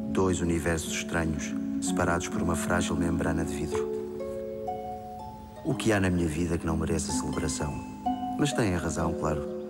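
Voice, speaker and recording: A man's voice: deep voice, lightly acted. A man with a European Portuguese accent playing a serene, grandiose genius tycoon in a comic drama, speaking formally and eloquently. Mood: self-satisfied. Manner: formal, self-satisfied, eloquent monologue.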